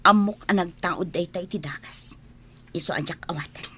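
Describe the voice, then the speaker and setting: A woman's voice: fairly low pitch, normal speed, a bit bright, a little hiss or hum, natural voice. An actress playing a worried, long-suffering wife and mother in an Ilocano radio drama, earnest and emotional, with sighs. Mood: firm, pleading.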